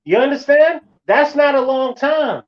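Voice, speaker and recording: A man in his forties with a notably high-pitched voice talks in an easy, conversational way. He repeats his phrases to hammer home a point, like someone preaching to a friend. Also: animated, measured pace, muffled recording. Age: 40s. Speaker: host. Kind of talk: casual chat.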